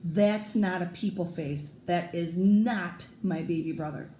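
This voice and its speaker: A woman voicing a firm, unimpressed little girl in a children's storybook, speaking in slow, emphatic declarations. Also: fairly low pitch, warm tone, close to an everyday voice. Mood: flat disapproval. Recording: roomy echo, noticeable background noise.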